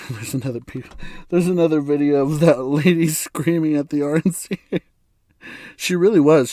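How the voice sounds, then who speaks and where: A man from the United States hosting a solo podcast, his voice raspy and hoarse from bronchitis, rambling through his opinions with chuckles and frequent restarts. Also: fairly high pitch, dark tone, dry recording, studio quality. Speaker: podcast host. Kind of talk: casual solo podcast monologue.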